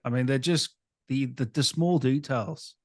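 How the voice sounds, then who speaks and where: A man's voice: medium pitch, unhurried, a little mellow. A man hosting a casual interview, chatting informally with his guest. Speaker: interviewer, host.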